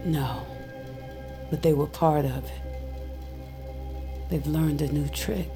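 A drained, secretive witch speaks slowly in a low, creaky voice. She is breathy and weary, a loyal friend in an audio drama, with music behind her. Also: steady tone. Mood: grave, weary. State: exhausted. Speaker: supporting actor. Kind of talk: audio fiction acting.

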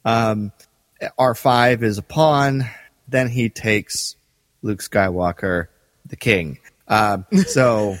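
A man's voice: on the low side, fairly even intonation, extremely slow, no room sound, big-room sound. A man co-hosting a podcast in casual, conversational discussion. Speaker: podcast co-host. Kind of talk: casual discussion of a radio drama.

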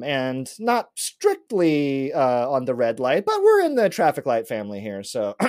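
A man's voice: medium pitch, no room sound, studio quality. A man co-hosting a podcast, explaining trivia in a casual, rambling way with plenty of fillers. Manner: rambling, with many fillers and restarts; lecturing, explains in detail. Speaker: podcast co-host presenting a trivia segment.

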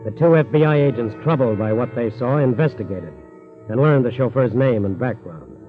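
A man's voice: medium pitch, normal speed, natural voice. A muffled old radio broadcast carries an actor as a solemn American narrator of a crime drama. Faint music sits around his 1940s radio delivery. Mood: solemn, measured.

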